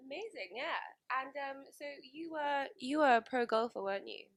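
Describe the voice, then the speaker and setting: Creaky-voiced woman hosting. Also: high-pitched, expressive, a little fast, dry recording. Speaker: radio show host. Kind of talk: introducing and interviewing a guest.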